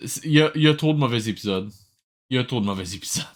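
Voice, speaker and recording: Un homme à l'accent québécois qui commente de vieux dessins animés de façon décontractée et sarcastique, un peu exaspéré. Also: male voice, medium pitch, lively intonation, racing. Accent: Quebec French. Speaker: host of a web show reviewing old cartoons. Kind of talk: casual comedic commentary.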